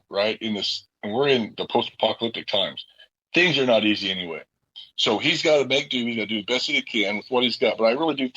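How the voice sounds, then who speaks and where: Husky male voice, chatty and earnest, casual interview guest, dull dry audio. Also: mid-range voice, pushed and bright, no echo. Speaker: voice actor guest on a cast interview. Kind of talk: casual unscripted interview talk.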